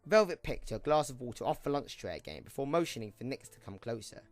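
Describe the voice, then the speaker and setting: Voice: mid-range voice, very animated, fast, no room sound. Dry-sounding storybook narrator.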